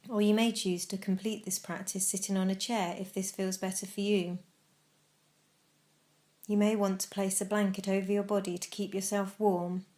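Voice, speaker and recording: Female meditation narrator, mid-range pitch, instructional and measured, leaving deliberate space between phrases. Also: a little mellow. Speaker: meditation guide.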